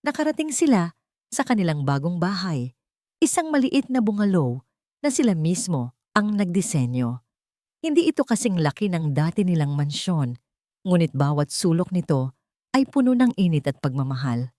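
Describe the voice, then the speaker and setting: Woman, story narrator, dramatic storytelling, dry close sound, lower pitch. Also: sing-song, moderate pace, warm tone, no room sound. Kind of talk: scripted romance story narration.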